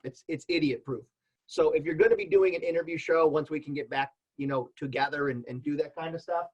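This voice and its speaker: A man's voice: fairly high pitch, rough recording. A plain-spoken man giving casual, practical advice as a panelist in a conversational way. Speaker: panelist with radio and podcasting experience.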